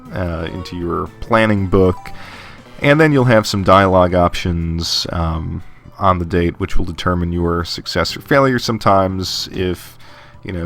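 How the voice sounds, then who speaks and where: A man doing a casual voice-over review of old console games, thinking aloud with fillers and restarts. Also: low-pitched. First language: English. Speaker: game reviewer. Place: voice-over over game footage.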